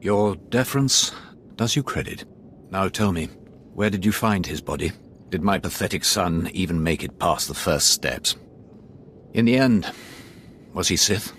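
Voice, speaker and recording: A stern, scornful Sith lord. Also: male voice, low-pitched, animated, measured pace, pauses often, a bit bright, slight background noise. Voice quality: stern, commanding, contemptuous. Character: cold, proud Sith lord father, scornful. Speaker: Sith lord guarding the council chamber. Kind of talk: video game voice acting.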